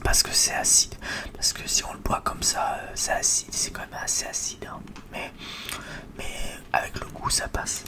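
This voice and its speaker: A person whispers a breathy, hesitant, rambling ASMR chat to viewers as a video creator. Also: normal speed.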